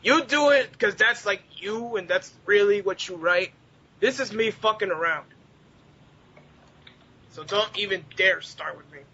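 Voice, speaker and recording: A man's voice: high-pitched, a little slow, long pauses, bright, forceful tone, breathy edge. A man co-hosting a casual podcast, defensive and snarky, swearing and stammering over his repeats. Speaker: podcast co-host. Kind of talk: casual banter. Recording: muffled noisy internet call recording.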